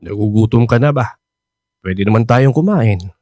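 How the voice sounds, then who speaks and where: A man's voice: fairly low pitch, moderate pace, darkish tone, faint music behind, natural voice. An actor playing an older, playful Filipino womanizer who is earnestly courting, in a hesitant, coaxing radio drama style. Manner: hesitant, coaxing, peppered with interjections.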